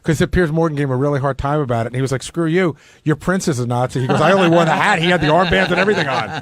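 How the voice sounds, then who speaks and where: A male radio host moderates a studio panel, firing off questions and cutting in. He talks very fast, a bit higher than most men. The mic is close and dry. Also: no room sound. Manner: brisk, questioning, jumps in.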